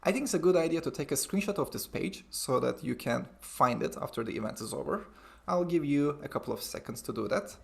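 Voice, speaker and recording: A man's voice: fairly high pitch, expressive, fairly quick, vocal fry. A man hosting an online event over a video call, reading out promotional announcements and introducing a guest. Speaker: webinar host.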